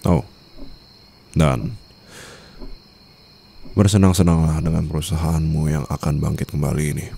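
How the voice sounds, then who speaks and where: A man acting as a menacing mafia boss and new husband in a romance roleplay. His voice is extremely deep and dark, with a heavy gravelly fry that sinks into murmurs. He speaks in a formal, smug, controlled way, teasing as he threatens. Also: steady tone, unhurried, pauses often, a little hiss or hum, full character voice. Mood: weary, dismissive. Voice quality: very deep, gravelly, often dropping to a breathy murmur.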